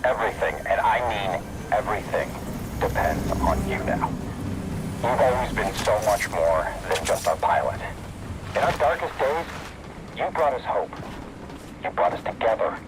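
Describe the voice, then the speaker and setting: An actor playing an earnest, grave but hopeful scientist who leads a resistance in a video game, speaking in a solemn, measured, heartfelt way. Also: male voice, on the low side, animated, very noisy, lightly acted. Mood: heartfelt, pleading. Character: earnest wartime scientist leader rallying allies, grave and hopeful. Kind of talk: scripted game story monologue.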